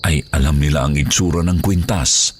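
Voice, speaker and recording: A man's voice: extremely low pitch, moderate pace, noisy background. A man with a Filipino accent reading a scripted horror story as narrator. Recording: background music under the voice.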